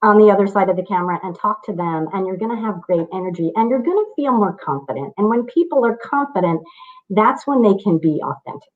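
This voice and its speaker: A woman's voice: mid-range voice, animated, fast, narrow, tinny audio. A woman speaking as a public speaking coach in a casual interview, encouraging and coaching her listeners over a video call.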